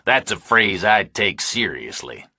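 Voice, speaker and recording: A man's voice: low voice, sing-song, creaky, pushed and bright, breathy edge, dry recording, studio quality, full character voice. Man voicing a cold, menacing thriller villain. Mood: sardonic menace. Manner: deliberate, threatening. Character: menacing, cold-blooded villain, grown man, angry and sardonic. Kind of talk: audiobook character dialogue.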